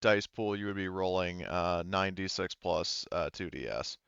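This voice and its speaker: A man's voice: low voice, a little slow, vocal fry, a bit bright, clean tone, no echo, dull audio. A man running a tabletop roleplaying game as game master, talking casually and hesitantly as he thinks aloud.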